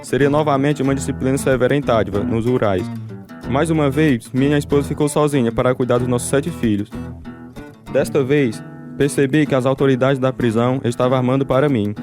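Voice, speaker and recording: A man's voice: mid-range voice, fairly quick, natural voice. Actor as an earnest, devout man, guitar music behind. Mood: solemn. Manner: earnest, resolute. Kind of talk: first-person radio drama narration.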